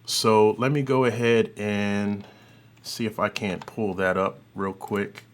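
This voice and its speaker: A man with a fairly low voice gives a casual software demo. He talks informally, thinking aloud as he works, over faint background noise. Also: fairly even intonation, takes it slowly. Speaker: host of an audio software tutorial.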